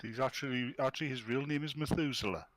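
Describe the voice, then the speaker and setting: A man's voice: medium pitch, fast. A man giving casual, bantering commentary as a panel member.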